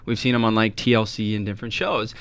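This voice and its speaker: A man's voice: lowish voice, flat delivery, quick pace, fairly bright tone, smooth. A chiropractor, a man, patiently explaining health topics on a talk show, often checking in with "right?". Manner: patient explainer, frequent tag questions. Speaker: chiropractor guest.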